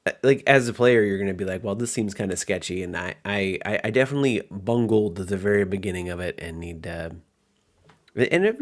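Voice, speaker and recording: A man with a fairly low voice talks casually as a tabletop game master. He rambles in a self-deprecating way, restarting his phrases as he goes.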